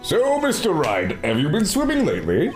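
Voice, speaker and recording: A man's voice: fairly high pitch, huge pitch swings, normal speed, soft background music, pitched above the performer's own voice. A man voicing a grandiose older male police chief who drawls his lines theatrically. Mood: pompous.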